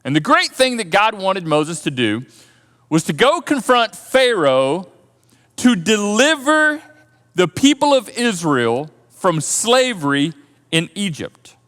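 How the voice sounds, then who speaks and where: A man preaching a sermon as pastor, telling a story in an animated way and often repeating words as he thinks aloud. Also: high-pitched, slow. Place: church auditorium. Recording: long church hall reverb.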